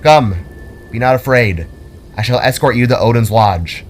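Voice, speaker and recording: A man's voice: medium pitch, normal speed, slight background noise, close to an everyday voice. A man voicing a mighty, peaceful Norse war god in a narrated fantasy story, stately, formal and welcoming. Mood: welcoming, genial.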